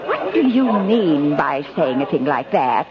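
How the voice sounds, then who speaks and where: An actress playing a brisk, candid mother out shopping in a 1950s radio drama, emphatic and plain-spoken. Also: low-pitched, very animated, crowd noise. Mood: reproachful. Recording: dull old radio recording.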